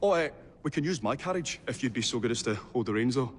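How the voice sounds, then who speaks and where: A man's voice: mid-range voice, lively intonation, fast talker, in a large hall, slight background noise, close to an everyday voice. A man voicing a flustered, fussy, polite Victorian inventor who stammers in a game cutscene. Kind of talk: video game cutscene acting.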